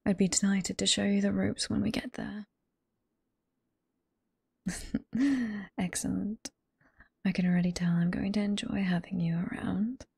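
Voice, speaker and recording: A woman with a British accent playing a flirty, teasing, sweet head librarian boss in a whispered ASMR roleplay, soft and coy, close to the microphone. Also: medium pitch, fairly even intonation, unhurried, creaky, long pauses, slightly rough, no echo, heavily acted. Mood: welcoming, flirty. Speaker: voice performer in roleplay.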